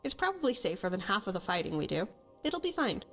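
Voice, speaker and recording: Actress as young female hunter, fantasy game companion, bold yet haunted, natural conversational delivery, medium pitch, faint music behind. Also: big pitch swings, quick pace, a bit bright. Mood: casual, coaxing. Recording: music under the voice.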